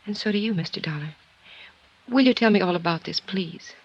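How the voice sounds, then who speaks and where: A woman playing a poised, quietly intense twenty-one-year-old in 1950s radio drama, with a measured, earnest delivery. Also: mid-range voice, natural voice. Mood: searching. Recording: dull vintage radio recording.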